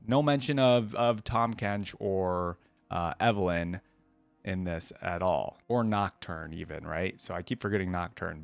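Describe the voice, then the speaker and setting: A man's voice: fairly low pitch, smooth, no echo. A man hosting a casual reaction video, thinking aloud in an informal, rambling way. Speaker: YouTube reaction host.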